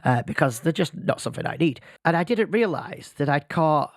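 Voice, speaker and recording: A man's voice: on the high side, animated, brisk, no echo, clean studio sound. A man who is losing his voice, hosting a casual solo podcast in a self-deprecating, rambling way. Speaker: podcast host. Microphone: podcast microphone.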